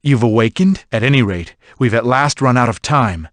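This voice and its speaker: A man playing a stern, formal advisor to a dark lord in a video game. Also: medium pitch, lively intonation, moderate pace, no echo, close to an everyday voice. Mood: grave, approving. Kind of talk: English video game dub acting.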